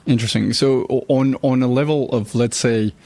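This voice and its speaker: A man's voice: medium pitch, steady tone, normal speed, warm tone. A man hosting an interview, asking casual questions and informally feeling his way into them. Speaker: podcast host, interviewer.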